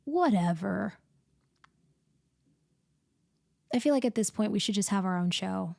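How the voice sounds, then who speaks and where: A woman playing an upbeat, teasing, affectionate young best friend in a casual, playful and expressive ASMR roleplay, speaking one side of a conversation. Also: medium pitch, a little fast, creaky, no echo. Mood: playfully indignant.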